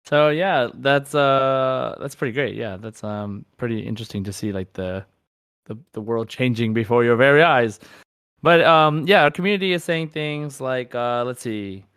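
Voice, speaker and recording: A man's voice: mid-range voice, normal speed, a bit bright, clear, resonant tone, dry recording, studio quality. A man hosting a podcast, chatting casually and reading out listener comments in an easygoing way. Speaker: podcast host.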